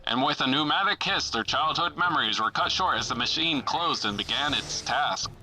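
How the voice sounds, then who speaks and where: A man's voice: medium pitch, animated, normal speed, over music, natural voice, rough recording. A man playing a dramatic, suspenseful announcer narrating an old-time pulp adventure radio serial. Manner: theatrical, suspenseful.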